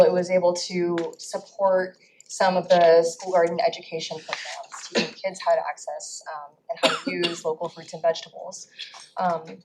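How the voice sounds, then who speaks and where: Woman advocate, low-ish voice, earnest hearing testimony, faint noise in the background. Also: darkish tone, low-quality recording. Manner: earnest, many fillers. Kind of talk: formal public testimony.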